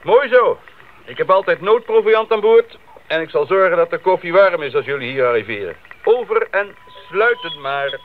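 An actor playing a young, dutiful missionary bush pilot in a radio drama, heard over an aircraft radio. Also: male voice, sits high, lively intonation, moderate pace. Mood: matter-of-fact. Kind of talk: 1980s Dutch radio drama acting. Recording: muffled crackly radio link.

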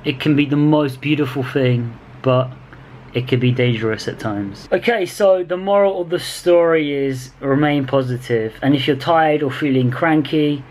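A British man in his twenties talking casually to camera in a rambling, slangy vlog style, speaking in animated bursts. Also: a little high, moderate pace, a little hiss or hum. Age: 20s. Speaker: vlogger. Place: small indoor room with bare walls. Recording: echoey, roomy sound.

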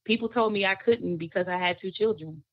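A woman guest singer, chatting in an interview in a relaxed, storytelling way, laughing often. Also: medium pitch, fairly quick, no echo, lo-fi sound. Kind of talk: casual interview chat.

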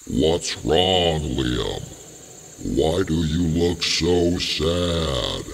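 A huge, kindly talking tree from a children's story. The voice rumbles very low and crawls along with drawn-out words, swelling and dipping widely, inside a long cavernous reverb. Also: male voice, lively intonation, exaggerated voice. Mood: concerned. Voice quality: gravelly, very low. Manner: slow, drawn-out, gentle. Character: huge old talking tree, slow, deep, kindly male. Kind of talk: children's story character acting.

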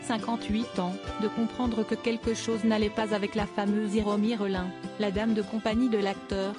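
Flat-toned female news narrator over music. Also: medium pitch, normal speed. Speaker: narrator of a celebrity news video. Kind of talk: scripted narration. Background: background music.

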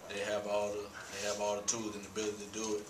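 A young man, a college basketball player, answering postgame questions at a press conference in a relaxed way, with many fillers. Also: on the low side, rapid-fire. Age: young adult. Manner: relaxed, laughs easily, many "you know" fillers. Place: small press room. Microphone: table mic. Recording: roomy echo, distant mic, hum.

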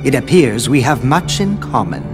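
A cold, condescending scholar, voiced by a man speaks in measured riddles, his pitch swinging widely over each line. Loud, tense music plays under him in the game cutscene. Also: sing-song, natural voice. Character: scheming robed male scholar, cold and condescending. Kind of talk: video game cutscene dialogue.

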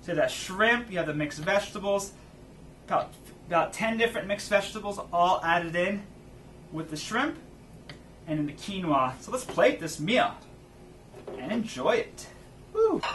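A man in his thirties hosting an energetic, chatty cooking demo outdoors in his backyard garden. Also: high-pitched, moderate pace, distant mic, background noise. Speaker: cooking show host. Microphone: far camera, outdoors.

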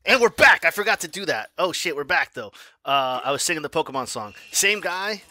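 Excitable man yelling over a fighting game. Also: fairly high pitch, brisk, no room sound. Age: 40s. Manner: excitable, swings from mutters to yelling and laughing; profane, self-mocking trash talk. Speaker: gaming YouTuber. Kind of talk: live gameplay commentary.

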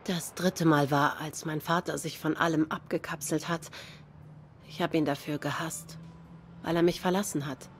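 A young cat woman in a noir game, grieving and guarded. Her voice is on the low side, subdued, with a bitter, sardonic edge. There is some noticeable ambient noise behind her. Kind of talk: noir game dialogue acting.

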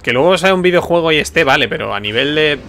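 A man's voice: fairly high pitch, animated, fast talker, a bit bright, faint music behind. A man from giving casual gameplay commentary, musing aloud informally. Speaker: video game streamer. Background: video game sound.

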